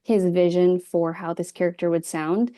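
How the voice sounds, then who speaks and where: A woman chatting casually in an author interview, quick and self-deprecating. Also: on the low side, fairly even intonation, dark tone, no echo. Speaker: guest author.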